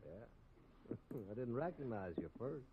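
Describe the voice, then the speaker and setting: An actor playing a menacing male gunslinger in a 1950s radio drama, with a slow, mocking drawl. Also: mid-range voice, expressive, narrow, tinny audio, a little hiss or hum.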